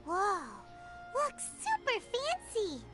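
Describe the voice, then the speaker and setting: Very squeaky little fairy girl. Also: wildly swooping pitch, slow pace, exaggerated voice. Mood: chirpy, excited. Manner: chirpy, childlike, refers to herself by name. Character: small cheerful food-loving fairy girl companion. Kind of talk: video game voice acting. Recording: loud music under the voice.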